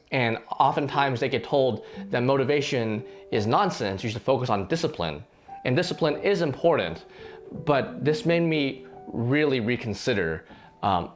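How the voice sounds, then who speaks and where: A slightly hoarse man, a fitness channel host, talks earnestly to the camera in a casual reflection, with frequent restarts and fillers. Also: medium pitch. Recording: faint guitar music underneath.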